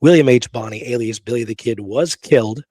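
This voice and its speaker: A man's voice: medium pitch, brisk, warm tone, husky, no room sound. A man hosting a history discussion, talking informally with emphatic opinions. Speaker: host of a history show.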